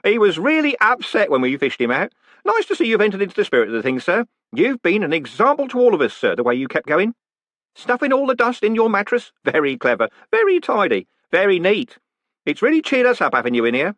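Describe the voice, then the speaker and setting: A man's voice: sits high, huge pitch swings, brisk, fairly bright tone, dry recording, pitched above the performer's own voice, full character voice. A man voicing a cheerful, deferential prison warden who rambles on and calls everyone sir. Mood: chatty.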